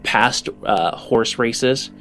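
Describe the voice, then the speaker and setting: A man working as game master, improvising narration in a halting way as he searches for words. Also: mid-range voice, fairly bright tone, faint music behind.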